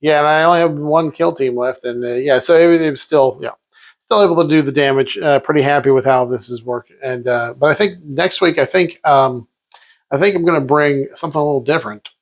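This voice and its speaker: A man's voice: on the high side, fairly quick. A man co-hosting a casual post-game chat, easygoing and agreeable, often answering in short replies. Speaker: co-host.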